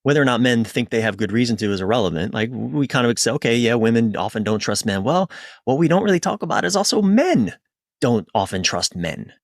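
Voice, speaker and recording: A man's voice: medium pitch, expressive, fairly quick, no room sound, studio quality. A man speaking as a coach being interviewed, informal and conversational, often repeating phrases and interrupting himself. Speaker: relationship coach, interview guest.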